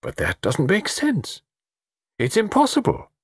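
A man voicing a fussy, excitable elderly British gentleman in a storybook, savouring his words theatrically. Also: mid-range voice, normal speed, slightly rough, no echo, clean studio sound, voice pushed up. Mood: puzzled.

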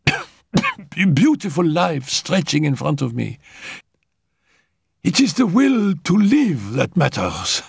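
A man's voice: vocal fry, slightly rough, no room sound, clean studio sound, full character voice. A man voicing a frail, feverish young woman who is dying but defiant, coughing and laughing breathlessly as she shrieks. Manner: coughing fits, breathless laughter, shrill outbursts.

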